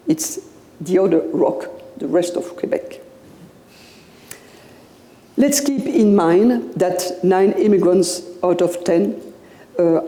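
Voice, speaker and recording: An older woman with a Belgian French accent, a native French speaker, giving a public lecture in English at a podium microphone, measured and pausing often with "uh". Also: on the low side, warm tone. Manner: slow, measured, with frequent "uh" pauses. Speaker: guest lecturer. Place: lecture room. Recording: echoey hall with long reverb.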